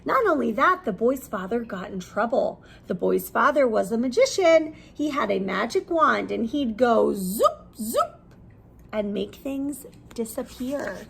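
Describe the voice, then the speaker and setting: A woman reads a picture book to young children in a high, very animated voice. Her tone has a creaky, husky grain, and she explains things simply as she goes. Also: slow pace, a little hiss or hum. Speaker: preschool teacher reading aloud.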